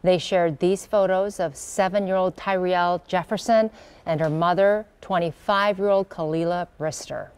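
A woman news anchor reading in a calm, polished studio delivery. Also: on the low side, normal speed, clean tone.

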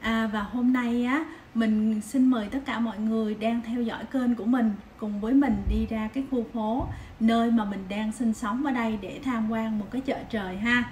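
A woman's voice: on the high side, fairly even intonation, normal speed, darkish tone, a little hiss or hum. A woman in her thirties casually narrating a travel vlog, chatting directly to viewers with lots of fillers, at home and then walking through a busy street market. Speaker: vlogger. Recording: background music over the voice.

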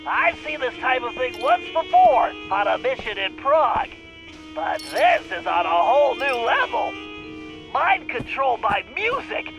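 A man's voice: very high voice, wildly swooping pitch, unhurried, gravelly, a bit bright, dull audio, heavily acted. A man playing a brainy, earnest cartoon turtle tech planner in a video game, with a precise, fussy manner. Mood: analytical. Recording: music behind the voice.

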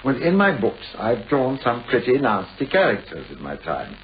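Radio play novelist: British, fussy, anxious, formal and hesitant, with very lively intonation, on a dull, hissy old recording. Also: male voice, mid-range voice, moderate pace. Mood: confiding, troubled. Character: anxious, mannered male novelist, fussy and self-absorbed.

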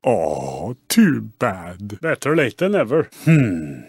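A man voicing a cheerful, cocky Swedish rally car in a video game, with a Swedish accent and a playful, exclamatory, drawn-out way of talking. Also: lowish voice, wildly swooping pitch, a little mellow, husky, no echo, studio quality, full character voice. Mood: wry. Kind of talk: animated video game character voice clips.

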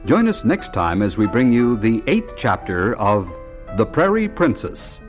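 Animated male radio announcer over music. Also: on the low side, a little slow.

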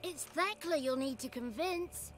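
A folksy peasant woman in a fantasy game, high in pitch and frank in manner. She is earthy and helpful, with music behind her. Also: a little slow.

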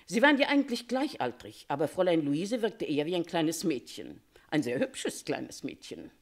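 A Paris concierge in a radio crime drama, a woman who loves to gossip. Her voice sits a little low but swings widely up and down as she confides her firm opinions. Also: big pitch swings, moderate pace, heavily acted. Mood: gossipy, knowing. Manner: chatty, confiding, opinionated storytelling. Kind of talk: German radio crime play acting.

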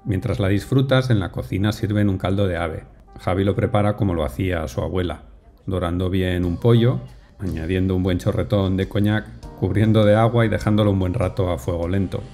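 Mellow-voiced male voice-over narrator. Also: deep voice. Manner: measured, unhurried, descriptive. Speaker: food vlogger narrator. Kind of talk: calm scripted voice-over narration. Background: soft music.

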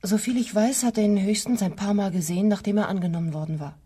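Guarded, husky, mellow-voiced anxious wife, played by an actress. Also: female voice, mid-range voice, fairly even intonation, fast, natural voice. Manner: guarded, evasive, short answers. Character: defensive, anxious married woman hiding an affair. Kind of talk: German crime radio play acting.

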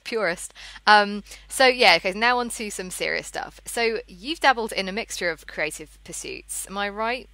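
A woman's voice: mid-range voice, animated, moderate pace, sharp tone, rough recording. A woman hosting a podcast, speaking in a casual, conversational interviewing style.

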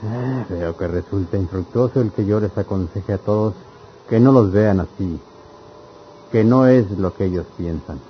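An actor playing a kindly, concerned village priest in an old-fashioned radio serial, measured and paternal. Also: male voice, on the low side, lo-fi sound, slight background noise, lightly acted.

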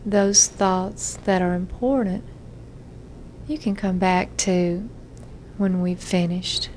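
A woman therapist leading a guided self-hypnosis relaxation session, giving gentle, measured instructions with frequent pauses. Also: fairly low pitch, steady tone, a little hiss or hum.

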